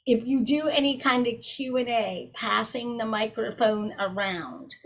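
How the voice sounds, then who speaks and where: A woman's voice: on the high side, fairly even intonation, a little slow, low-quality recording. Woman, webinar presenter, direct, conversational, video call audio. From South Carolina. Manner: warm, direct, addresses audience as y'all.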